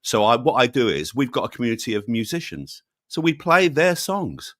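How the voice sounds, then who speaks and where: A man's voice: mid-range voice, big pitch swings, moderate pace, a bit bright, no echo. Rambling man, dry sound. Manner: rambling, many fillers and restarts; jokey. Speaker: channel host, guest. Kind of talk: casual interview chat.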